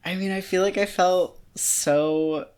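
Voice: mid-range voice, steady tone, takes it slowly, darkish tone, smooth. A podcast co-host in a casual book discussion, talking in an earnest, opinionated way and saying "like" a lot. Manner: earnest, opinionated, heavy use of "like".

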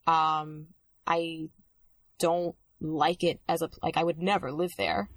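A young woman speaking reflectively in casual conversation, with many pauses and restarts. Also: sits low, flat delivery, no room sound. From Dominican Republic.

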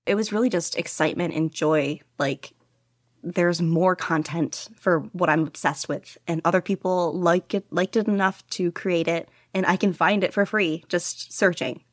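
A woman's voice: lowish voice, steady tone, normal speed, no room sound, studio quality. A young woman guest on a podcast, talking casually and rambling with lots of "like" and small laughs. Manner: rambling, casual, many "like" fillers, giggly. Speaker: podcast guest, fan fiction writer. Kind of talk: casual interview. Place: bedroom at home.

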